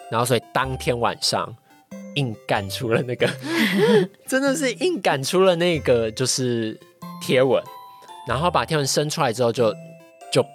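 A podcast guest with a Taiwanese Mandarin accent shares personal experience in a casual way. The voice sits mid-range and often pauses to rephrase. Music plays clearly underneath. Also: mid-range voice. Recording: voice over background music. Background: background music.

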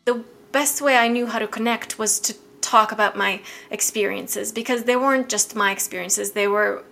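Woman, around 40, born in Bosnia, Bosnian native speaker, reflective, measured, mid-pitched. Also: vocal fry, bright, forceful tone, faint music behind. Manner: reflective, measured, with some restarts. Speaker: interviewee. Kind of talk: personal recollection.

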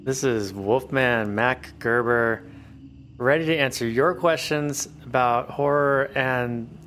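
A man co-hosting a casual podcast, relaxed and playful, laughing often. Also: mid-range voice, takes it slowly, a little hiss or hum. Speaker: podcast co-host.

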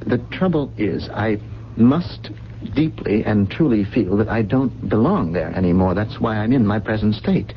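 An actor playing a weary, gentle amnesiac in a radio drama, with formal, educated diction and a halting, pensive delivery. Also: male voice, lowish voice, animated, close to an everyday voice. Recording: dull, noisy 1970s radio recording.

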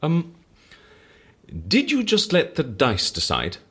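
An actor playing an eccentric, chatty British interviewer in an audio drama, with quaint phrasing and a theatrical manner. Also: male voice, fairly high pitch, huge pitch swings, slow pace, bright, forceful tone, breathy edge, full character voice. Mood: jovial. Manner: quaint exclamations, theatrical swings. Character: eccentric, chatty British male case interviewer, jovial and flustered.

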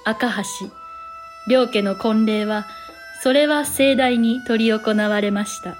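A woman's voice: fairly high pitch, takes it slowly, soft background music. A woman playing a composed storyteller of a samurai chronicle, narrating in polite, formal, old-fashioned phrasing. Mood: stately composure. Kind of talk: scripted period drama narration.

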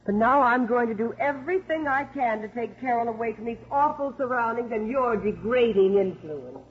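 An actress playing a prim, indignant young welfare investigator in a 1950s radio drama, speaking formally and precisely. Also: female voice, a little high, expressive, moderate pace, slightly rough. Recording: muffled 1950s radio recording.

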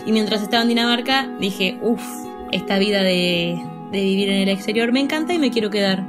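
A woman's voice: medium pitch, brisk, music in the background. A woman in her twenties from Argentina with an Argentine Spanish accent, casually telling her own story with fillers and laughs. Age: 20s. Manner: chatty, self-deprecating, with fillers and laughs. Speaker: interview guest.

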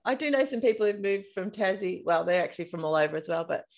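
A woman's voice: mid-range voice, expressive, fast talker, darkish tone. An Australian woman hosting a podcast, chatting casually and informally as an interviewer. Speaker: podcast host.

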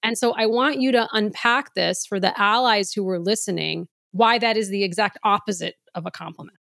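A woman's voice: medium pitch, a little fast, gravelly, fairly bright tone, dry recording, studio quality. A woman from the United States co-hosting a podcast, speaking in an earnest, reflective way. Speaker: podcast co-host. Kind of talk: conversational podcast discussion.

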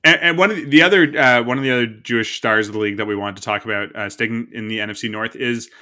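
Man, bright forceful tone, casual podcast chat, rambling with ums and restarts. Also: medium pitch, fast. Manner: loose and rambling, many fillers and restarts. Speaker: podcast co-host.

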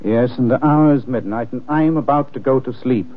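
An actor playing a suave, witty, flirtatious gentleman adventurer in a 1950s radio drama, urbane and wry. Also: medium pitch, normal speed, lightly acted. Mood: wryly charming. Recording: tinny, hissy old radio recording.

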